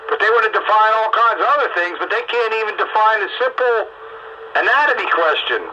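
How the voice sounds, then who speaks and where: Emphatic male talker, very bright and forceful; dull recording with slight hiss of noise. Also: high-pitched, animated, fairly quick. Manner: emphatic, opinionated, repeats points. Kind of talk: casual political discussion. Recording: lo-fi audio with faint noise.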